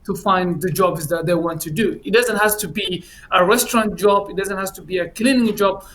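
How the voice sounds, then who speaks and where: A man who grew up in Finland, answering as an election candidate on a panel in a halting way with many fillers. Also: high voice, a bit bright, a little hiss or hum. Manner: hesitant, many fillers and restarts.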